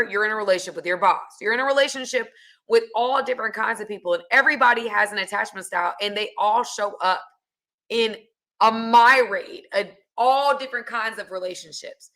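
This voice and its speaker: A woman hosting a podcast with a raspy voice, talking casually to her listeners. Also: medium pitch, fast talker. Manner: casual, addresses listeners warmly. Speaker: podcast host. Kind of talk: podcast chat.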